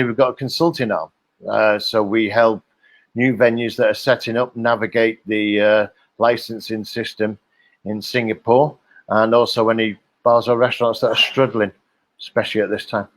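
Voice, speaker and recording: A man's voice: on the low side, no room sound. Middle-aged Liverpool man, dry close sound. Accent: Liverpool English. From England (Liverpool). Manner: chatty, jokey storyteller with frequent "uh" and "um". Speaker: guest, hospitality company director. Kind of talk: casual interview over video call.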